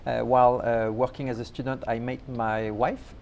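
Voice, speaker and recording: A man from France, a native French speaker, telling his story to an interviewer in a friendly, halting way. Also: mid-range voice, little pitch movement, creaky, a little mellow, slight background noise. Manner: friendly, halting, with many fillers. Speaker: program coordinator, guest. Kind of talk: casual interview answers.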